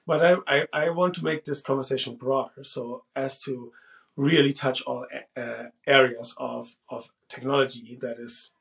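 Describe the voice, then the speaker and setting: Gravelly-voiced man musing on camera. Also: medium pitch, steady tone, normal speed, fairly bright tone. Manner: restarts often, thoughtful, gestures while talking. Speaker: host. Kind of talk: casual monologue to camera. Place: small room indoors.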